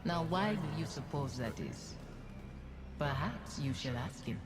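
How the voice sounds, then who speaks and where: Needling tormentor, fairly high voice, insinuating, probing, game drama, music underneath. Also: male voice, expressive, measured pace. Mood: sly goading. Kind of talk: dramatic video game acting.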